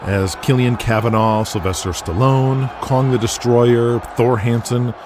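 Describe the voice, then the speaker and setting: A man's voice: mid-range voice, fairly even intonation, a little slow, noisy background. A man narrating a scripted audio drama in a dramatic storytelling style. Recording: loud music behind.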